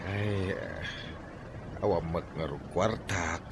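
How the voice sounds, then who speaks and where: An actor with an Ilocano Filipino accent playing a humble, grateful old jeepney driver in a radio drama. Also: male voice, low-pitched, steady tone, very slow. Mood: weary. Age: older. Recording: noisy track with vehicle sounds.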